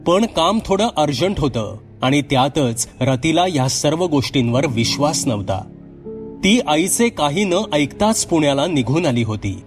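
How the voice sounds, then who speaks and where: Male horror storyteller over music. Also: medium pitch. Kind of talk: scripted horror story narration.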